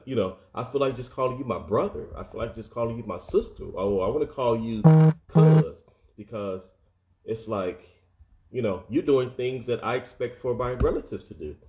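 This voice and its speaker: A man's voice: fairly low pitch, darkish tone, slight background noise. A man giving an earnest motivational talk, speaking straight to his listeners, often repeating words and acting out quoted speech.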